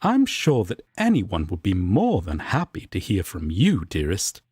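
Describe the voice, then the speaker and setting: Casting: male actor as polite, tender angel roommate for comfort roleplay; breathy, slow, highly expressive intonation. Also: dry recording, studio quality, heavily acted. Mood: concerned, tender. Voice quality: soft, breathy. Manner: formal, courteous, tender, careful. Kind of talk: intimate roleplay audio acting.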